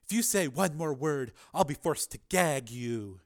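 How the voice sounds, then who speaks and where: A man voicing a commanding woman in an audiobook, speaking in an ominous, deliberate, teasing way. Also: a little high, animated.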